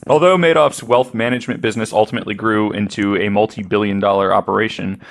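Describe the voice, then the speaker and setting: Man, fairly low voice, podcast host, informal narration from notes, conversational. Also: fairly even intonation, fast, vocal fry. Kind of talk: reading researched notes aloud with casual asides.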